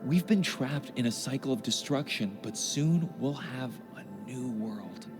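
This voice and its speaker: Slow-spoken, devout convert. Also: male voice, medium pitch, lively intonation, music in the background. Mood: awed, reverent. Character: awed, devout male cult convert. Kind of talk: video game voice acting.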